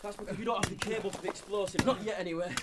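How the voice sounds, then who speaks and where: An actor playing a gruff, wry, seasoned First World War tunneller in an audio drama, with dry humour. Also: male voice, high voice, steady tone, racing, lightly acted. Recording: noisy track with clicks.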